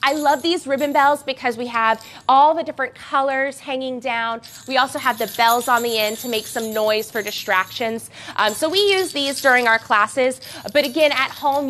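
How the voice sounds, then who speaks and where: Brief: young woman, baby gym teacher, high bright voice, sing-song and coaxing, bells jingling behind. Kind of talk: instructional class for parents and babies.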